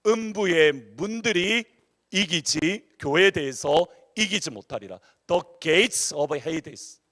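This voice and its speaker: A pastor delivers an emphatic sermon, a man with a regional dialect whose voice sits slightly high. His pitch rises and falls widely as he drives home rhetorical questions to the congregation. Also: animated, takes it slowly, a bit bright. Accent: Korean regional dialect. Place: church sanctuary.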